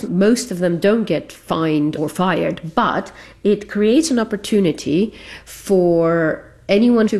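Woman academic being interviewed, lowish pitch, lots of vocal fry, explaining stuff. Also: a little mellow.

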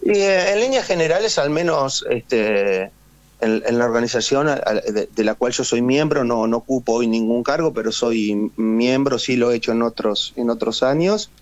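A man's voice: medium pitch, animated, moderate pace, no room sound. Hesitant Argentine man on a muffled line. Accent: Argentine Spanish. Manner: hesitant, many fillers and tag questions. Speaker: guest, war veteran and writer; radio interview guest. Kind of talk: casual phone interview.

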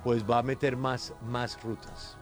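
A man hosting a morning talk show, bantering quickly and teasing his co-hosts. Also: mid-range voice, little pitch movement, over music. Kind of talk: brisk, joking studio banter.

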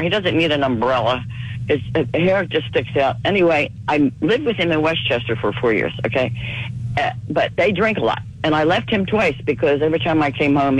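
A woman in her seventies calling in to a radio show, hoarse from a cold, talking fast and rambling from one thing to the next. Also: low-pitched, rough recording. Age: 70s. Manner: rapid, rambling and chatty. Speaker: caller. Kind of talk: call-in radio conversation.

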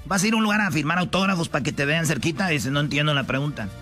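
A man with a Mexican accent hosting a sports talk show, bantering loudly and jokingly with his co-hosts. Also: fairly high pitch, rapid-fire, faint music behind. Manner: loud, joking, playfully defensive.

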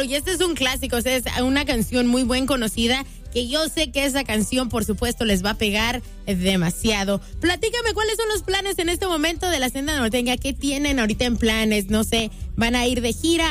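A woman's voice: sits high, lively intonation, moderate pace, few pauses, fairly bright tone, faint music behind. A woman radio DJ, hosting a live interview in an effusive, upbeat way. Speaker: radio DJ host. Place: radio studio.

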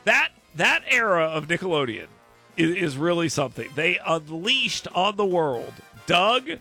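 Bright, gravelly man joking on a podcast. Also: sits high, unhurried, soft background music. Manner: joking, blunt, animated. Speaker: podcast host. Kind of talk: casual comedic chat.

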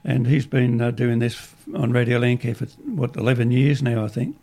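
Male Australian radio host, croaky and gravelly, relaxed studio chat. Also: mid-range voice, brisk.

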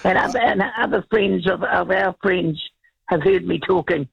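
A woman calling in to a radio show, chatting casually with a croaky voice and many repeated restarts. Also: lowish voice, normal speed. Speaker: caller. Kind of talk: casual radio call-in chat. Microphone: telephone. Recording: dull lo-fi phone line.

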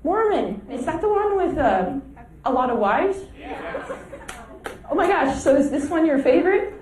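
A woman's voice: sits high, big pitch swings, normal speed. Woman doing crowd work, club roar. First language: Italian. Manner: teasing, laughing banter with audience. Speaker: stand-up comedian. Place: comedy club stage. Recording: live club crowd, distant roomy mic.

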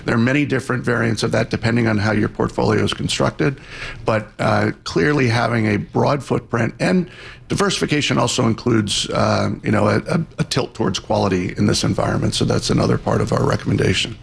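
A man's voice: mid-range voice, a little fast, creaky, breathy edge. A man giving market views in an interview, hesitant with many restarts. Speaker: market strategist, guest. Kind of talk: interview answers.

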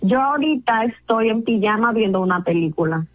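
A woman's voice: a little high, expressive, a little fast, phone-quality sound, soft background music. A woman in a casual phone chat, talking politely and patiently with an amused manner. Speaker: phone participant in a radio prank.

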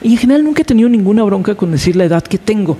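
A woman's voice: mid-range voice, expressive, very fast, mellow, husky, long reverb tail. A Mexican woman in her fifties hosting a broadcast interview, talking conversationally with fillers and repeated words. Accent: Mexican Spanish. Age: 50s. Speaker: host.

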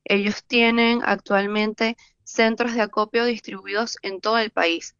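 A woman's voice: mid-range voice, fairly even intonation, measured pace, a bit bright, smooth, no echo, lo-fi sound. A woman with a Venezuelan accent, a journalist reporting steadily in a news interview. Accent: Venezuelan Spanish.

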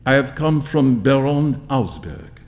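Man playing courteous old gentleman, slight French accent. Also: mid-range voice, very animated, takes it slowly. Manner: formal, courteous.

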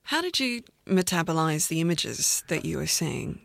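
Male radio host, very creaky fry, slightly higher pitch, thoughtful questions. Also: big pitch swings, moderate pace, a bit bright, no echo. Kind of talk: interview host.

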